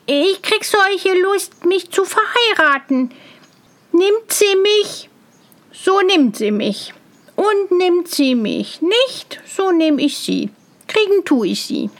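A woman's voice: extremely high pitch, animated, takes it slowly, pitched above the performer's own voice, full character voice. A woman voicing a cheerful, brash and naive young simpleton in a fairy tale, full of carefree exclamations. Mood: eager, boisterous. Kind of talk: fairy tale storybook reading.

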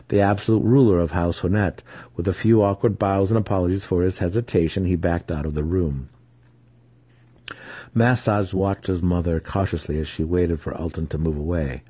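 A man's voice: low voice, normal speed, mellow, no echo. A man giving a dramatic scripted reading of a fantasy novel as its narrator. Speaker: audiobook narrator.

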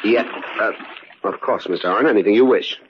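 A male actor playing a gruff, surly hired hunting companion in a 1940s radio drama, talking in a curt, brusque way. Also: fairly low pitch, brisk, close to an everyday voice. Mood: guardedly obedient. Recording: crackly, muffled 1940s radio recording.

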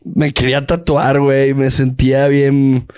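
A man's voice: mid-range voice, monotone, a little slow, darkish tone, clean tone, no echo. A young adult man with a Mexican Spanish accent, co-hosting a casual, joking football chat. He swears a lot, repeats words and laughs often. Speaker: podcast co-host.

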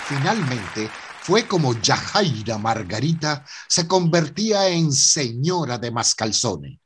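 A man's voice: medium pitch, big pitch swings, slow pace, crowd noise. A man with a Venezuelan accent narrating a melodramatic radio soap in a theatrical storytelling manner. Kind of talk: scripted radio drama narration.

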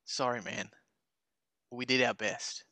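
A man's voice: medium pitch, steady tone, slow, bright, forceful tone, no room sound. A man reading the part of a laid-back, friendly young footballer in a podcast table read.

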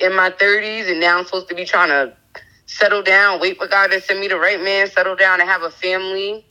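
A woman in her thirties, a podcast guest sharing a candid personal testimony in a halting way, with many fillers and restarts. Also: deep voice, sharp tone, low-quality recording. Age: 30s.

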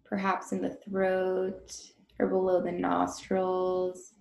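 A woman guiding a breathing meditation in a gentle, informal and unhurried instructional manner. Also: on the low side, completely flat delivery, gravelly, reverberant. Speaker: meditation guide.

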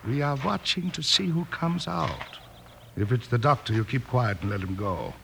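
An actor playing a wily, boastful crooked schemer who bosses hired gunmen in an old-time radio western. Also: male voice, mid-range voice, animated, moderate pace, gravelly. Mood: scornful, bossy. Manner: boastful, condescending. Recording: old radio recording with faint noise.

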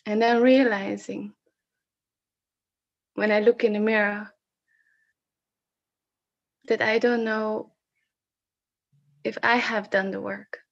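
A woman from the Netherlands with a Dutch accent, sharing personal reflections on a video call in a slow, searching way with frequent restarts. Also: medium pitch, little pitch movement, vocal fry, lo-fi sound. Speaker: session facilitator.

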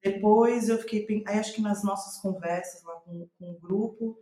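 A woman's voice: mid-range voice, steady tone, moderate pace, dark tone. Brazilian woman reflecting in a roomy echo. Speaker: guest playwright. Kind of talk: reflective interview conversation. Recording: roomy, echoing voice recording.

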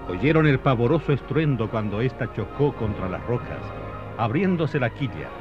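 A man's voice: medium pitch, sing-song, normal speed, lightly acted. A man narrating a scripted historical radio drama in a dramatic, declamatory way. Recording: dull lo-fi radio recording with music.

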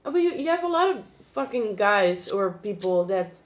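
A woman's voice: medium pitch, big pitch swings, moderate pace. A young woman co-hosting a casual podcast, blunt and sardonic, swearing casually. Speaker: podcast co-host. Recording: slightly dull lo-fi sound.

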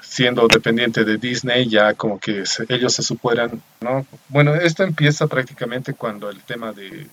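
A man with a Bolivian Spanish accent talks through a film in a loose, casual way. His pitch sits in the middle range, and he rambles, often closing his points with tag questions. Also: normal speed, hardly any pauses, a bit bright, slight background noise, poor audio. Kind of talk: casual film review chat.

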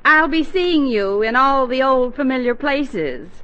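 A woman's voice: high-pitched, lively intonation, unhurried. An actress playing a politely catty middle-aged housewife and mother, with arch manners, in a 1940s radio comedy. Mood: drily catty. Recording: muffled, noisy 1940s radio broadcast.

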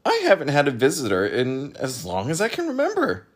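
A man voicing a proud, absent-minded ordinary fellow who talks brightly and eagerly. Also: medium pitch, big pitch swings, moderate pace, breathy edge, voice pushed up. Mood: delighted, flustered. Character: chirpy, absent-minded ordinary man posing as four oddities.

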